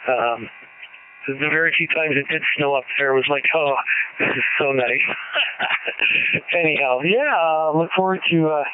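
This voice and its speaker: A man's voice: a little high, unhurried, long pauses, smooth, dry recording. A man from Canada chatting easygoingly on the air, reminiscing with hesitant fillers. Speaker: ham radio operator. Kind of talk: casual on-air radio chat. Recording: hissy phone-like radio transmission.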